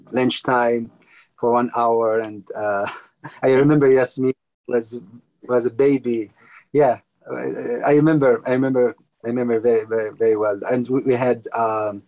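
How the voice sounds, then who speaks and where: A man's voice: medium pitch, creaky, darkish tone, poor audio. Storytelling man, native Arabic speaker. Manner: storytelling, anecdotal, repeats words for emphasis. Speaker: panel guest, writer. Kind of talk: panel conversation.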